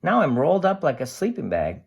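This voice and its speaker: A reader voicing a cheerful, excitable aluminum can in a storybook diary, bubbly and expressive. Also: medium pitch, brisk, creaky, breathy edge, pitched above the performer's own voice, heavily acted. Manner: bubbly, wide-eyed, expressive storybook delivery.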